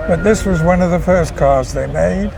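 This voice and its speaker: A man's voice: a little high, moderate pace, gravelly. An older man with an English accent, explaining things in a hesitant, understated way in an outdoor interview. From England. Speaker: interview guest, classic car club member. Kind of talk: casual interview. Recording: outdoor event with crowd noise behind.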